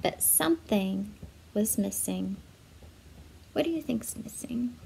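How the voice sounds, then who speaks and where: A woman reading a children's story aloud in an expressive, measured way, with asides to young listeners. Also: mid-range voice, creaky, a little hiss or hum. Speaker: storybook reader for schoolchildren.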